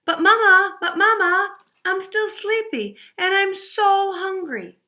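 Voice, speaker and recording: Woman reading as a high-pitched, bright-voiced clumsy little girl, storybook, exclaiming. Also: animated, slow, full character voice. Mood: whiny. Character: young girl, clumsy and unlucky.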